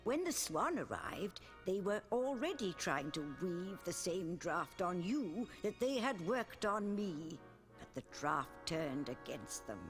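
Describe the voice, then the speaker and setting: A woman's voice: on the low side, very animated, slow pace, soft background music. An actress playing a grave, wise female mentor of weavers in a fantasy game drama, solemn and portentous.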